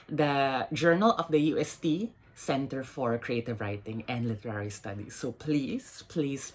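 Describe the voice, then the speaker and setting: A woman's voice: extremely low pitch, slightly rough. A woman reading prepared literary material aloud as host of an event. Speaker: event host introducing writers and reading poems. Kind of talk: poetry reading and scripted introduction.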